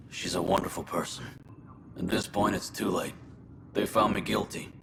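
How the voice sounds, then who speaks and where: An actor playing a weary, resigned colonist imprisoned for murder, speaking in a halting, subdued way in a video game. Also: male voice, deep voice, fairly even intonation, creaky, heavy background noise. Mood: resigned, regretful.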